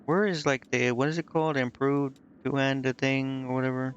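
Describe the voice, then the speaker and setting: A man's voice: medium pitch, little pitch movement, normal speed, dull audio, faint music behind. A man streaming a video game, thinking aloud in casual commentary and muttering indecisively to himself.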